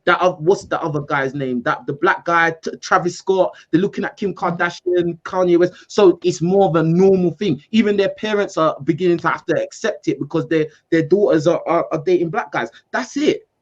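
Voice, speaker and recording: A man from the UK, hosting a call-in show in a casual, animated debate, repeating himself for emphasis. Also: on the high side, normal speed, few pauses, lo-fi sound. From United Kingdom. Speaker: host.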